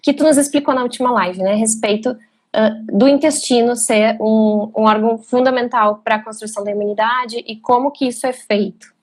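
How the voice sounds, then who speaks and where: The slightly high-voiced woman from who opens an evening live stream, effusively welcoming everyone and her guest. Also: fairly even intonation, brisk, darkish tone. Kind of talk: casual live-stream interview.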